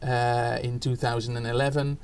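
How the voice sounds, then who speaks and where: A man's voice: medium pitch, little pitch movement, normal speed, smooth. A man in his forties from the Netherlands with a Dutch accent, answering interview questions in a thoughtful way, with many fillers and restarts. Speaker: education researcher guest. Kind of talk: podcast interview.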